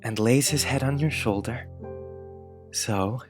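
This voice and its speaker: A man voicing an earnest, dreamy boy of about eleven in a storybook reading, speaking gravely and confidingly. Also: medium pitch, slow pace, over music, natural voice. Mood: earnest, eager. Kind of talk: dramatized audiobook character reading.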